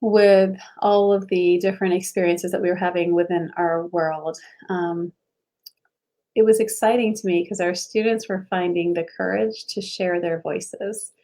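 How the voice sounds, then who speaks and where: A woman's voice: on the low side, steady tone, a little slow, mellow. Earnest woman candidate answering an interview. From Ohio, USA. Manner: earnest, reflective, many fillers and restarts. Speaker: school board candidate.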